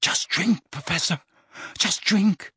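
Man acting teenage boy wizard, raised pitch, breathy, strained, urgent, coaxing, desperate, audiobook fantasy hero. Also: unhurried, sharp tone, no echo, clean studio sound, full character voice. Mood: desperate urging.

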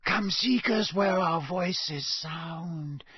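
A man's voice: high voice, very slow, fairly bright tone, slightly rough, no echo, pitched above the performer's own voice, full character voice. A man voicing eerie, mournful underwater singers in a chanting sing-song for a storybook. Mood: eerie, ominous.

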